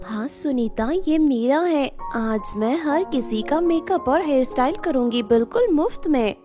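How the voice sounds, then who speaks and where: A tender, earnest young village dreamer, voiced by a woman storyteller, very high in pitch. She is the kind-hearted heroine of a cartoon fairy tale, with faint music underneath. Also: lively intonation, unhurried. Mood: warm, generous.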